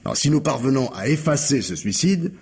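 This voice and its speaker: An actor playing a scheming, persuasive husband in a French radio drama, with an accent from France and an insistent, coaxing way of reasoning. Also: male voice, mid-range voice, sing-song, brisk, vocal fry, darkish tone, breathy edge, heavily acted. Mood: insistent, explanatory. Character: scheming, persuasive husband desperate to save his home.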